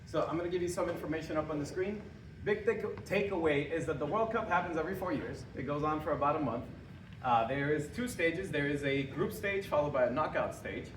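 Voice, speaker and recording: A young man from Honduras giving a lively, joking talk and quizzing a live audience. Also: fairly high pitch. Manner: joking, quizzing the audience, chuckling at own jokes. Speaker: presenter. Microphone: room mic from a distance. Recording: echoey hall recorded from far off, background noise.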